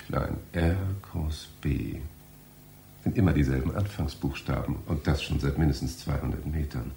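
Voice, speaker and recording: A lost, courteous library visitor with a very deep, creaky voice. He hesitates and mutters in puzzlement, slowly, as in a radio play, over faint noise. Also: dark tone, heavily acted. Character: bewildered, polite male library visitor lost in corridors. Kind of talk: German radio play acting.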